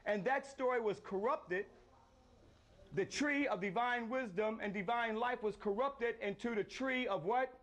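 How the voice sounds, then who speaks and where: A man in his fifties teaching a group outdoors by an ancient temple wall, often pausing to quiz his listeners for answers. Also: very high voice, a bit bright. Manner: questions the group to draw answers. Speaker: lecturer leading a tour.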